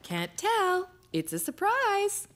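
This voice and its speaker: A woman playing a cheerful, warm young mother in a children's cartoon. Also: very high-pitched, huge pitch swings, takes it slowly, full character voice.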